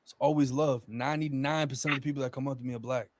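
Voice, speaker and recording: A man with a mid-pitched voice talks in casual conversation as a podcast guest. His delivery is blunt and full of street slang and swearing. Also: fairly even intonation, rapid-fire, background noise.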